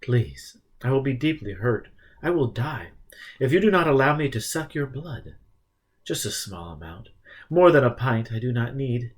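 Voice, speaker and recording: A man voicing a timid, desperate vampire from Transylvania for an audiobook, in halting, apologetic English with a Transylvanian accent. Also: mid-range voice, big pitch swings, slightly rough. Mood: desperate pleading. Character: shrinking, pleading male vampire from Transylvania, desperate and polite.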